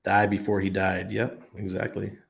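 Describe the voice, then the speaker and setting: Stream host, male, low gravelly voice, loose rambling delivery with ums and restarts. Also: level tone, normal speed. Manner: rambling, thinks aloud with many ums and restarts. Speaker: livestream host answering chat. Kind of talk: casual chat. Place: expat living abroad.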